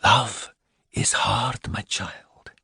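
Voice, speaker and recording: A man voicing a gentle, sad but firm lady in an audiobook, speaking in a hushed, soothing manner. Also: fairly low pitch, drawn-out words, creaky, breathy edge, dry recording, full character voice. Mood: tender, whispering.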